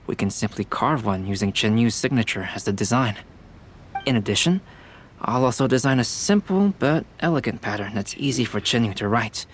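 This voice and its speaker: A man voicing a composed young artist in a video game, speaking in a measured, polite, explanatory way. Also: medium pitch, close to an everyday voice. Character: composed, courteous young male artist and designer.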